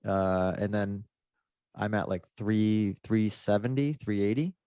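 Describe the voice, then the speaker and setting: Laid-back stuffy-nosed man, low voice. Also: measured pace, mellow, clear, resonant tone, no room sound. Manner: rambling, laid-back, lots of fillers. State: stuffed-up nose. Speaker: motocross racer. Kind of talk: casual podcast chat.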